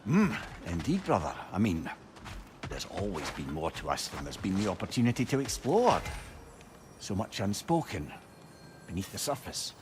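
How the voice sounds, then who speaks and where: A man's voice: sits low, very animated, moderate pace, noisy background. A man playing a chatty, sentimental older companion in a video game, telling tales with wry expression. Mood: tender, regretful. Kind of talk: dramatic video game acting.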